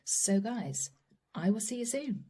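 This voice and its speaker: A woman doing a channeled tarot reading straight to the viewer, earnest and apologetic, her voice going hoarse. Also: a little high, animated, unhurried, warm tone. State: losing her voice. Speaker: tarot reader.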